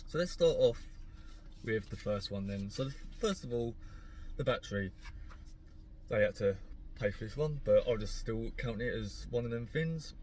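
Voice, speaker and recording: A man's voice: mid-range voice, expressive, moderate pace, pauses often, a little mellow. A young man talking casually to camera from his parked car, rambling and laid-back, with a sore throat that makes his voice crack. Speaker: car vlogger.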